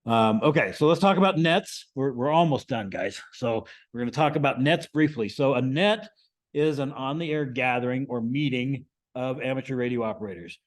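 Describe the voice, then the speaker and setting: A man giving an informal, explanatory lesson to a group as the instructor, often checking in with a quick "right?". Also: mid-range voice. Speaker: amateur radio class instructor.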